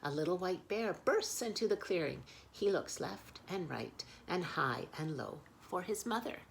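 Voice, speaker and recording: Perempuan bersuara rendah, pendongeng anak bertempo lambat. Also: female voice, lowish voice, animated, a little slow, creaky, a little hiss or hum. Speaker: children's storyteller. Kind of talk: expressive picture-book read-aloud.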